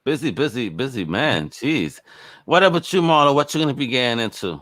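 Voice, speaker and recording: Male podcast host, playful and exclamatory, lively swooping pitch, casual interview chat. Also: brisk. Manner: exclamatory, playful, repeats words for emphasis.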